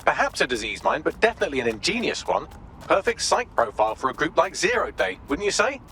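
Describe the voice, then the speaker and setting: A cheeky British AI sidekick from a video game. The voice is bright and high, swooping playfully through flippant, wordy quips, with faint noise behind it. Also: male voice, sing-song, fast, full character voice. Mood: sardonic. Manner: wry, flippant, theatrical, wordy. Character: cheeky sardonic male AI assistant, quippy and smug.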